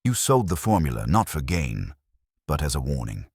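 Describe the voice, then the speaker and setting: A man playing a stout, older detective who speaks in precise, formal, measured sentences. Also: extremely low pitch, sing-song, darkish tone, husky, no echo, clean studio sound, exaggerated voice.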